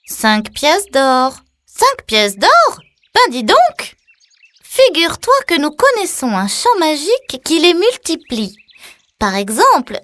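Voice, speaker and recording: A woman's voice: high-pitched, a bit bright, no room sound, voice pushed up, full character voice. A woman voicing a sly fox bandit in a children's story, falsely friendly and coaxing, drawing out the words. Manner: coaxing, drawn-out counting.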